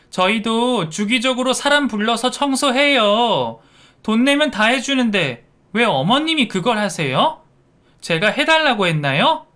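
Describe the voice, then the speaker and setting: Man voicing a shrill-pitched haughty young daughter-in-law. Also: pushed and bright, exaggerated voice. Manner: indignant, condescending. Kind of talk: acted dialogue in a narrated story.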